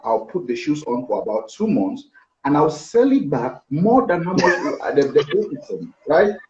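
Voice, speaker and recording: A middle-aged man with a Cameroonian accent tells stories in a conversational way. His pitch is slightly high and very animated. The sound is echoey, as from an office on a video call. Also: moderate pace, muffled recording, a little hiss or hum. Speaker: interview guest, business executive. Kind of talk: conversational personal storytelling. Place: indoor office. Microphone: video call, seated back from device.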